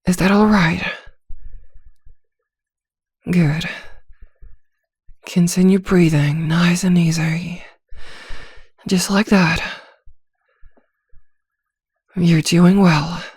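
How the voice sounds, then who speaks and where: A woman playing a vampire posing as a therapist in an ASMR roleplay, speaking softly and soothingly with quiet command, often near a whisper, close to the mic. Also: on the low side, steady tone, very slow, pauses often, dry recording, heavily acted. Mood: soothing, coaxing. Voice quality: soft, breathy, often slipping into whisper. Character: female vampire posing as a calm, teasing, controlling therapist. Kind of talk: ASMR roleplay, slow guided hypnosis. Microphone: close microphone, dry.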